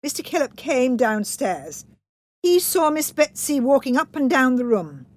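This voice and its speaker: Slow, expressive woman storyteller. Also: high-pitched. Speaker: narrator reading a children's textbook story. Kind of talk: scripted storybook narration, read-aloud.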